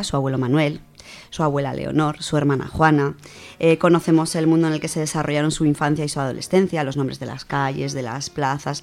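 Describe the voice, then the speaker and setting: A woman's voice: deep voice, a little mellow. A woman with an accent from Spain presents an informal book segment in a chatty, colloquial, enthusiastic way. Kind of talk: informal spoken book review.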